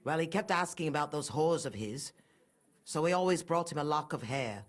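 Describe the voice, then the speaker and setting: A middle-aged religious fanatic in a medieval video game. She is haughty and sanctimonious, sneering with defiance. Her pitch swings widely as she condemns without remorse. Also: lively intonation, normal speed. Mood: cold contempt. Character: pious, murderous mother, haughty, fanatical and unrepentant. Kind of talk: dramatic video game acting.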